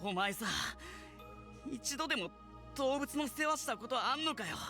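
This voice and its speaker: An actor playing a rough-talking, hot-blooded young male animal keeper in a visual novel, with blunt, emotional delivery. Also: high voice, animated, fast talker, pushed and bright. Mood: earnest, hurt. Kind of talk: Japanese visual novel voice acting. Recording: music behind the voice.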